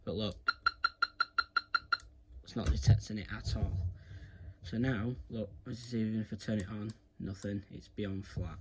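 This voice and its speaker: A man with a fairly low voice walks viewers through a hands-on demonstration in a casual, chatty way. He is recorded indoors, with clear background noise and clicks from handling objects. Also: mellow, low-quality recording. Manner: informal, addresses viewers as "guys". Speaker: video creator giving a tutorial. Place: indoors, carpeted room.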